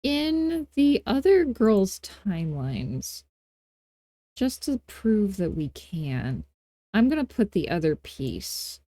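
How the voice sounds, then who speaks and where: A woman hosting a casual, unscripted software tutorial, talking in a playful, folksy way with informal grammar and self-deprecating asides. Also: medium pitch, big pitch swings, slow, no echo. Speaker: tutorial host.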